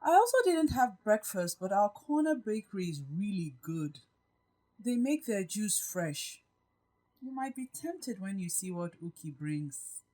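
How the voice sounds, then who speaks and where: A woman playing a gracious, composed and caring office boss in an audio drama, politely attentive and gently probing. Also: medium pitch, sing-song, a little slow, rough recording. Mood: gracious, welcoming. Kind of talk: acted audio drama dialogue.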